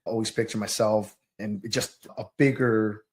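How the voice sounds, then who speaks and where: Low-voiced, halting man from southern Mexico, slightly nervous. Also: steady tone. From Oaxaca, southern Mexico. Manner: halting, reflective, searching for words. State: a little nervous. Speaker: guest, youth soccer coach and business owner. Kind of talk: personal storytelling in a podcast interview.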